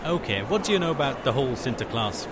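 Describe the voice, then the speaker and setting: A man interviewing people at a busy outdoor event, asking brisk, friendly questions. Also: mid-range voice, very animated, music in the background. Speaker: street interviewer. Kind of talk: vox pop interview. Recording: noisy outdoor street recording.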